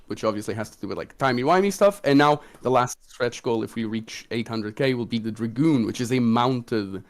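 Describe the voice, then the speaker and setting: Casual man co-hosting a livestream chat. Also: medium pitch. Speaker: tabletop game designer and stream co-host.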